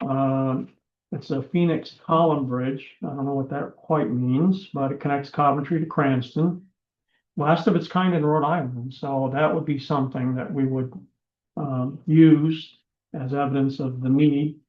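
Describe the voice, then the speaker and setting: A man chairing a town commission meeting, his voice going hoarse, talking haltingly with many fillers. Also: mid-range voice, steady tone. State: losing his voice. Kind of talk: meeting proceedings. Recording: echoey room, dull lo-fi sound.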